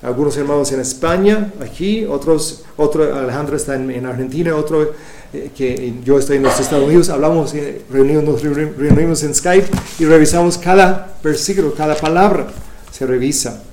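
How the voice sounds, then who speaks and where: Man, non-native Spanish speaker, slightly high and mellow, halting church talk with frequent restarts, echoey room. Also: a little hiss or hum. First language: not Spanish. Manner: halting, many restarts and fillers. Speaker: Bible translation coordinator. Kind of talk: church presentation.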